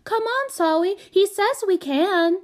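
A woman's voice: high-pitched, animated, takes it slowly, pitched above the performer's own voice. A woman voicing a cute, bold little girl who coaxes with babyish grammar in a storybook. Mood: delighted. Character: cute wheedling little girl, bold, gap-toothed five-or-six-year-old.

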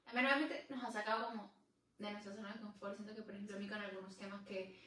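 A woman co-hosting a podcast in casual chat, reflective and quick with agreeing murmurs. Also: medium pitch, steady tone, fairly bright tone, recorded from a distance. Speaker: podcast co-host. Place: room where the podcast is filmed. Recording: very echoey room, mic set back, faint noise.

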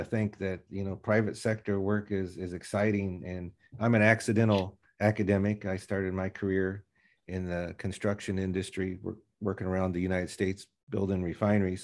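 A man's voice: low voice, a little mellow. Middle-aged man hosting a podcast. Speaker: podcast host, university president. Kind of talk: podcast interview.

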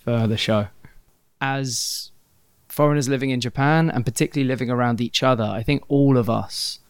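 A man's voice: mid-range voice, fairly even intonation, fairly quick, smooth, no echo. British man with dry close sound. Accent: British English. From Britain. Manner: thoughtful, explanatory, careful diction. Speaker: podcast co-host. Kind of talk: casual podcast chat.

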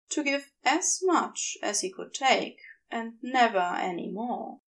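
A woman narrates fiction with slow, deliberate phrasing and a pitch a little above the usual. Her melody moves widely from phrase to phrase, and the voice often settles into a gravelly fry. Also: poor audio. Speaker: audiobook narrator of fan fiction. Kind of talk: scripted story narration, expressive.

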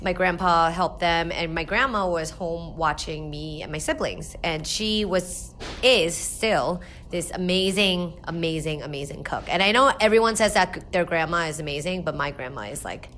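A woman from New Jersey who is a chef, talking as an interview guest in an animated way and repeating words for emphasis. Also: lowish voice, moderate pace, few pauses, a little hiss or hum. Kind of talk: interview conversation.

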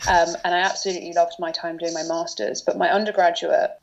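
Guest woman, 30s, from London, low gravelly voice, chatty with lots of fillers, muffled lo-fi sound. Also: little pitch movement, fast talker. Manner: chatty, many fillers and restarts. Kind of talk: casual interview chat.

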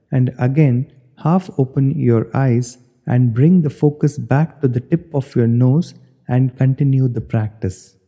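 A man guiding a meditation as an instructor, giving measured step-by-step directions in short phrases. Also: medium pitch, warm tone. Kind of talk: guided meditation narration. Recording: long hall-like reverb.

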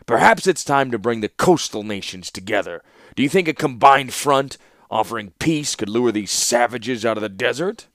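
A man voicing a cunning male warlord who speaks gruffly and deliberately. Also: medium pitch, lively intonation, a bit bright, dry recording, studio quality. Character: cunning middle-aged male warlord and ruler.

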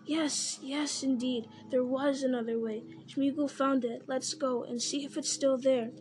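A woman's voice: sits high, fairly even intonation, measured pace. A woman reading aloud and voicing a scrawny, fawning male creature who whines and pleads. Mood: wheedling. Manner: whining, pleading, speaks of himself by name.

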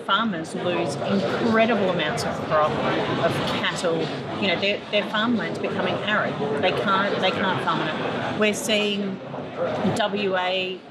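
A woman's voice: mid-range voice, measured pace. A woman with an Australian accent giving explanatory, earnest answers as an interview guest, in a conversational style. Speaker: interview guest, head of a business network. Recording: distant mic, loud ambient vehicle-like noise.

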